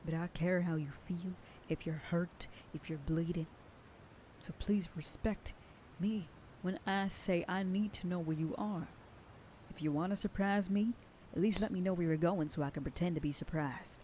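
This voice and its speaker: A woman playing a protective werewolf sheriff girlfriend in an ASMR roleplay, scolding the listener and dropping into soft whispers. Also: lowish voice, moderate pace, slight background noise. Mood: earnest. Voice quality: soft whisper in quieter passages. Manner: scolding, then softening into tender whispers. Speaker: voice actor in an audio roleplay.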